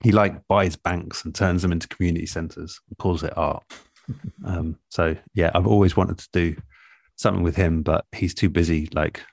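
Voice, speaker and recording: A man speaking as an interviewed radio producer in a relaxed, reflective way, thoughtful and measured, often pausing mid-phrase. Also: low-pitched, gravelly, no echo, studio quality.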